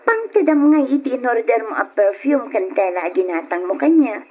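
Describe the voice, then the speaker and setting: An actress playing a friendly young woman boss in a radio drama, gentle and reassuring. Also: on the high side, sing-song, moderate pace. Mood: sympathetic. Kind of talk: Ilocano radio drama acting. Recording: dull, noisy old radio drama recording.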